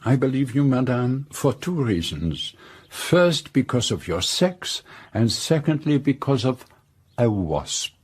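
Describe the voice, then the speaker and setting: Formal, shrewd foreign gentleman detective. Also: mid-range voice, big pitch swings, slightly rough, exaggerated voice. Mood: probing, measured. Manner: formal, precise, measured questioning. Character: shrewd, courteous, self-assured foreign male detective. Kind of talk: radio drama acting and first-person narration.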